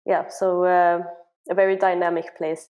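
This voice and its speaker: A woman's voice: on the low side, level tone, normal speed, darkish tone. A woman from Norway, a social anthropologist, answering interview questions in a thoughtful way with fillers and restarts.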